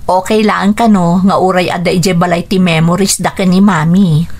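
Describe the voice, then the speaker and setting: An actress playing an affectionate, teasing young daughter in a radio drama, speaking with an Ilocano accent. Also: female voice, lowish voice, steady tone, moderate pace, darkish tone. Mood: teasing, hopeful. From Philippines.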